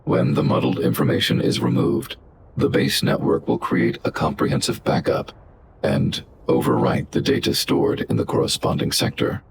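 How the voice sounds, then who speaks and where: A man playing a calm, logical robot guardian in a video game, speaking in a measured, formal, emotionless way. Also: very deep voice, gravelly, background noise. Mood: flat, analytical. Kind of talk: scripted video game voice acting.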